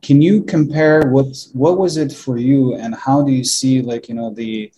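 Halting male interview host. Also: medium pitch, steady tone, warm tone, rough recording. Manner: hesitant, halting, many fillers and restarts. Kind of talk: interview hosting.

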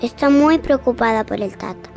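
Young daughter, very high voice, earnest, insistent, perceptive, radio drama. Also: female voice, moderate pace, creaky, darkish tone, faint music behind. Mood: tender, knowing.

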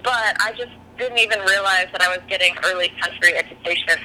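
A woman's voice: medium pitch, brisk, bright, forceful tone, slight background noise, rough recording. A woman guest talking candidly and easily in a podcast interview, an author and singer. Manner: chatty and candid, laughs easily.

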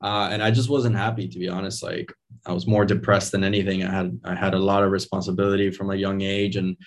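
A man's voice: deep voice, flat delivery, fast. A man in his thirties from Venezuela, raised in the States, talking casually as an interview guest, rambling with many fillers and restarts. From Venezuela, raised in Miami. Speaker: interview guest, digital nomad.

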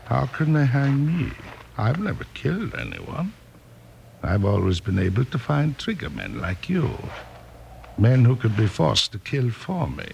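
An actor playing a wily, boastful crooked schemer who bosses hired gunmen in an old-time radio western. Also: male voice, medium pitch, big pitch swings, unhurried, gravelly, a little mellow, muffled recording. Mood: smug, boastful. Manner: boastful, condescending. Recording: old radio recording with faint noise.

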